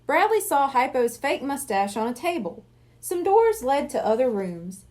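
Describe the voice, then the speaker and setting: A woman's voice: sits high, sing-song, measured pace, darkish tone. A woman reading a children's storybook aloud as scripted narration. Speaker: library reader of a children's book.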